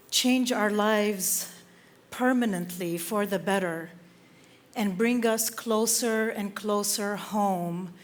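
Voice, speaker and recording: A woman from Lebanon giving an inspirational stage talk, slow and measured with dramatic pauses between phrases. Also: mid-range voice. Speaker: speaker. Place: theater stage.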